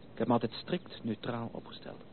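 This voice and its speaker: An actor playing a principled, reserved gentleman suitor in a Dutch radio drama, speaking in a measured, formal and courteous way. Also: medium pitch, monotone, close to an everyday voice. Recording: narrow tinny old radio recording, faint hiss.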